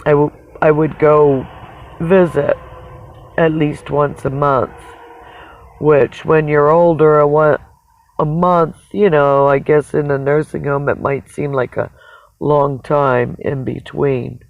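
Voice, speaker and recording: Slow, gravelly, raspy voice on phone-like audio. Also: mid-range voice, little pitch movement. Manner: halting, reflective, pausing mid-sentence. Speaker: livestreamer. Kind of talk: casual rambling livestream monologue. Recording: dull, narrow phone-like sound.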